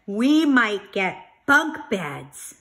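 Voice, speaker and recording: An eager little boy bear from a storybook, read by a woman, proud and excited. The voice is bright and lively, jumping in pitch with emphatic delivery. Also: very slow, exaggerated voice.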